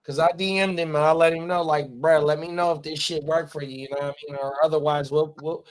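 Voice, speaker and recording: A man's voice: a little high, little pitch movement, quick pace. A man in his forties co-hosting a casual live-stream chat, emphatic and swearing freely, repeating stock phrases. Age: 40s. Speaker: podcast co-host.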